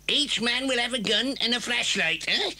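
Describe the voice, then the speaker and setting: A smug farmer plots aloud in a children's story tape. The voice is very sharp and forceful, deliberate and pleased with its own cleverness. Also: male voice, very high-pitched, lively intonation, full character voice. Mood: smug, scheming. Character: scheming, smug male farmer leading his cronies. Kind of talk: children's audio story dramatisation.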